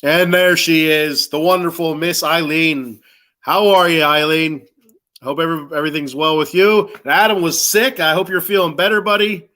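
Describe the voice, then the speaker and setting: A man's voice: fairly high pitch, fairly even intonation, normal speed, bright, forceful tone. A middle-aged man with a stuffed-up nose chats informally and ramblingly as host of a casual sports fan livestream. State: stuffed up with a cold. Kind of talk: casual livestream chat, greeting commenters.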